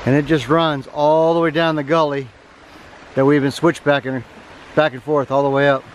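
A man's voice: on the high side, level tone, moderate pace, a little hiss or hum. Folksy man vlogging on a trail. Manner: folksy, easygoing, talks to the camera while hiking. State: tired. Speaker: thru-hiker vlogger. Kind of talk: casual trail vlog narration. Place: outdoors on a wooded mountain trail. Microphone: handheld camera at arm's length.

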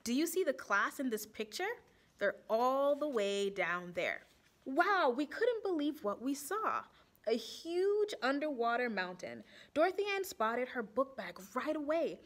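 A young woman reading a picture book aloud to children in an expressive storytime style, pausing to put questions to them. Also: sits high. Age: young adult. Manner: animated, asks the children questions.

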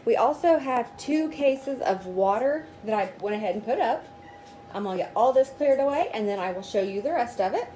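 A woman's voice: a little high, lively intonation, moderate pace, dark tone. Chatty woman unpacking groceries, noisy room. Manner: chatty, itemizing each product. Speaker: vlogger. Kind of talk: casual grocery haul show-and-tell. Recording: noticeable background noise. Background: rustling bags and crinkling packaging.

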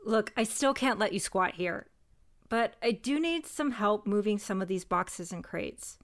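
A woman's voice: medium pitch, expressive, unhurried. A woman acting a stressed cousin who runs a failing shop, stern and exasperated. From United States. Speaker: shop owner character. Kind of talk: audio drama acting.

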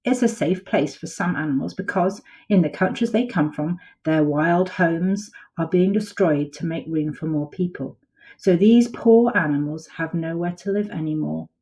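A woman's voice: lowish voice, moderate pace, mellow, lightly acted. A woman voicing a sensible young girl in a children's storybook, explaining things patiently.

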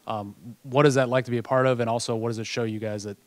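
A man's voice: medium pitch, steady tone, quick pace, no room sound. A man reporter asking a long two-part question at a press conference.

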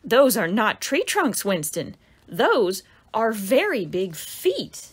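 A woman reading her own children's picture book aloud, with expressive storybook narration and dramatic exclamations for young listeners. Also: medium pitch, slow pace, slightly rough.

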